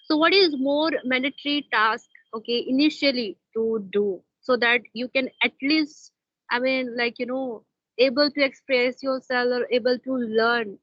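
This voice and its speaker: A woman's voice: high voice, steady tone, a little slow, no room sound, lo-fi sound. A woman with an Indian accent who hosts an online English practice talk, speaking hesitantly with many fillers and restarts. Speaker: host interviewing a fellow learner.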